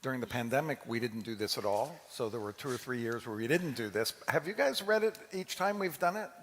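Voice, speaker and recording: A man speaking as a rabbi, informally introducing a dramatic reading to a congregation in a halting, conversational way. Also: mid-range voice, lively intonation, a little hiss or hum. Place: large reverberant hall, amplified to an audience. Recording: long hall reverb.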